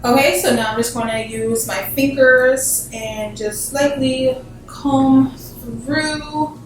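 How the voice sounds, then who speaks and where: A woman's voice: high voice, fairly even intonation, slow pace. A woman giving a casual, chatty hair tutorial in an informal, emphatic way, peppered with filler words like "literally". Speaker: beauty vlogger presenting a tutorial. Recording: very echoey room, distant mic, faint music.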